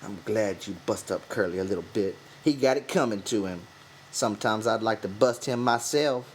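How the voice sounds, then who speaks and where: A man's voice: lowish voice, moderate pace, slight background noise, lightly acted. A man voicing a scornful, menacing young ranch wife in an audiobook, taunting in rural vernacular. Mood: cold. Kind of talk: audiobook character voice.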